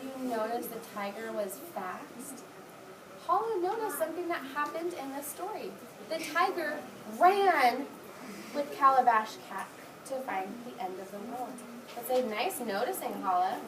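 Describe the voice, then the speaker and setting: A woman's voice: sits high, expressive, a little slow. A woman teaching young children in a classroom, giving short, directive instructions and praise. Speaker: elementary school teacher. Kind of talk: classroom read-aloud lesson addressed to young children. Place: indoor classroom. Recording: roomy, distant classroom recording with clear background noise.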